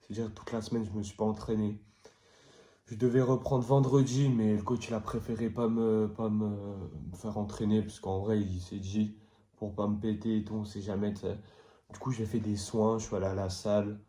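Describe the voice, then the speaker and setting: A young man with a cold talks in a low, flat, mellow voice, rambling through loose explanations. His voice rings around an echoey room. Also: moderate pace. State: has a cold. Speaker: vlogger and player. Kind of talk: casual vlog chat.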